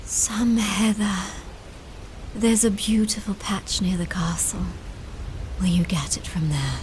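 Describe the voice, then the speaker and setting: A woman plays a dying young woman in a radio drama, feverish and wistful. Her voice is breathy and whispery, slow and dreamy, over noisy ambience. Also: mid-range voice, steady tone, a little mellow, lightly acted. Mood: feverish longing.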